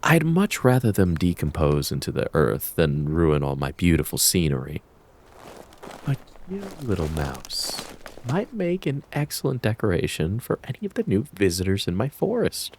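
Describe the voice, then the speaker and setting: A man voicing a deep, menacing kitsune fox spirit. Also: huge pitch swings, husky, full character voice. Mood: cold threat. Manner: taunting, theatrical, drawn-out predatory purr. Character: menacing, mocking kitsune fox spirit who hunts humans in the woods. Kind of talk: ASMR roleplay monologue.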